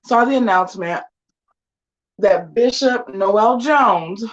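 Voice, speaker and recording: A woman's voice: mid-range voice, takes it slowly, dull audio. A woman in her forties chatting casually to her viewers while getting ready, sassy and full of slangy asides. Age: 40s. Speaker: vlogger. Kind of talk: casual get-ready-with-me chat.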